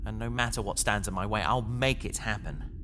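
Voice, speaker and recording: A man's voice: on the low side, brisk, pushed and bright, slight background noise. A man playing an earnest, determined human spacefarer in a science fiction audio drama, sincere and slightly awkward. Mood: defiant, resolute.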